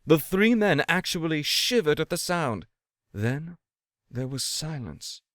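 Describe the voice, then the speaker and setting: A man's voice: fairly high pitch, very animated, takes it slowly, a bit bright, dry recording, studio quality. A man giving a dramatic reading of a literary tale as the narrator. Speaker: story reader.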